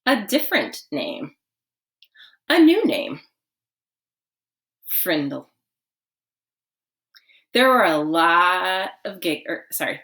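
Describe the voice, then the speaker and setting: A woman reading a children's story aloud expressively, with dramatic pauses and emphasis. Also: deep voice, a bit bright.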